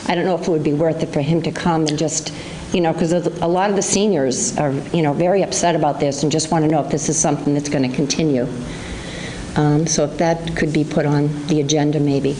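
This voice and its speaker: A woman's voice: sits low, fairly even intonation, brisk, mellow, poor audio. A woman making a plain-spoken, hesitant public comment at a town meeting. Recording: local-access meeting recording with faint noise.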